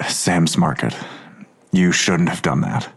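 An actor playing a weary, sardonic middle-aged police officer in an audio drama, dry and terse, with a husky voice. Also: male voice, deep voice, slow pace, heavily acted. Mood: guarded, serious.

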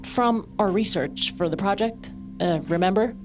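A woman's voice: medium pitch, moderate pace, over music, natural voice. An actress playing a skeptical, wry woman investigating the occult, talking casually and a little hesitantly in a naturalistic audio drama. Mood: hesitant, doubtful. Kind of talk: naturalistic audio fiction acting.

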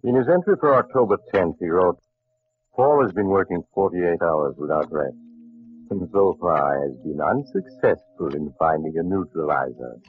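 An actor playing a sinister, courteous doctor who narrates a horror radio drama in a measured, ominous, theatrical way. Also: male voice, deep voice, animated. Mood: grave. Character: sinister, courteous doctor host reading a secret journal. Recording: muffled old radio recording with music.

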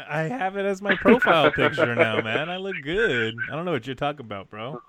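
A man's voice: on the high side, big pitch swings, normal speed, background noise. A man co-hosting a podcast, talking in a rambling, laid-back, jokey way. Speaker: podcast co-host. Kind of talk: casual podcast chat.

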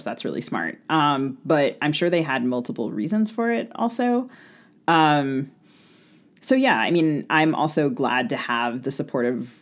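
A woman co-hosting a podcast in casual conversation about books, speaking in a thoughtful, hedging, halting way. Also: very deep voice. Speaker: podcast co-host and novelist.

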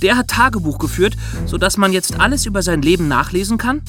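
A man's voice: high voice, expressive, a little fast, sharp tone, soft background music. A man co-hosting a podcast in a semi-scripted, conversational and explanatory way. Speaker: podcast co-host.

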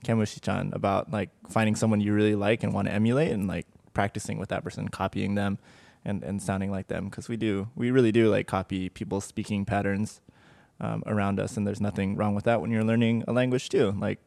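A man with a low, gravelly voice talks in a relaxed, easygoing way and laughs often. He is co-hosting a casual podcast chat and giving study advice. The sound is dry and close to the mic. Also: brisk, no echo. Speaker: podcast co-host.

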